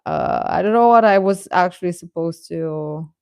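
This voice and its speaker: A woman's voice: fairly low pitch, normal speed, a little mellow, clear, resonant tone, dry recording, clean studio sound. A woman hosting a casual livestream, chatting to viewers in a hesitant, rambling, stop-and-start way. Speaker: livestream host.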